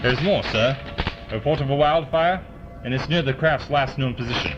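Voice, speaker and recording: Serious sci-fi drama man played by an actor, plain-spoken, medium pitch, muffled recording with music bed. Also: moderate pace, lightly acted. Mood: urgent, formal. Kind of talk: audio drama acting.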